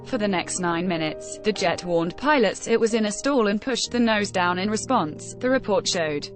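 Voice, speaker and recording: Female news narrator for scripted article read, medium pitch, background music. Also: lively intonation, moderate pace.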